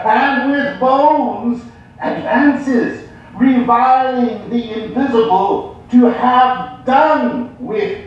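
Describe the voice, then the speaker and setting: A woman playing a defiant, blasphemous heretic poet, ranting deliberately and theatrically on stage. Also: fairly high pitch. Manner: deliberate, declamatory. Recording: dull, very echoey, distant stage sound.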